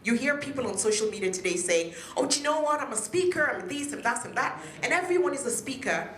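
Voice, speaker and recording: A woman's voice: sits high, lively intonation, quick pace, fairly bright tone, slight background noise. A woman from Nigeria giving an emphatic motivational talk to an audience at a peace event, drawing them in with questions. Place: hotel conference room. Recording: echoey conference room.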